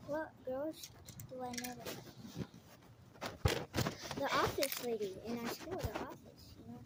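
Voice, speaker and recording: A child with a high voice chats casually as a companion in a homemade video. The recording is rough, with loud noise and clicking around the voice. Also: a little slow. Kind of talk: casual chat. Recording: very noisy amateur recording with clicks.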